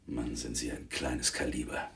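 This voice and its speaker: A man's voice: rumbling low, little pitch movement, moderate pace, vocal fry, a bit bright, a little hiss or hum, exaggerated voice. An actor playing a swaggering, contemptuous male gangster in a radio crime drama, mocking and boastful.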